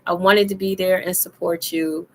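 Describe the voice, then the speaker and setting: Hoarse, gravelly-voiced woman host. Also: little pitch movement, normal speed, a little mellow, a little hiss or hum. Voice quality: deep, rough voice. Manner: laughs often, coughs between lines. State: hoarse from illness. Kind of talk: casual chat show hosting.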